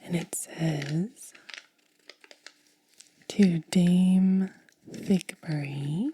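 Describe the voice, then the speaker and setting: A woman ASMR host speaking in a hushed, breathy whisper with a lingering delivery, as in an unboxing. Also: on the low side, very slow, slight background noise.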